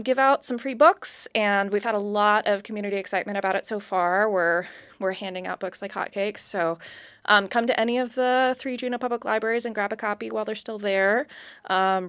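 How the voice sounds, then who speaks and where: Smooth, clear woman, close and dry. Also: medium pitch, fairly even intonation, a little fast, a bit bright, no room sound. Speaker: library representative, radio guest. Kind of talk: conversational interview, announcing community events.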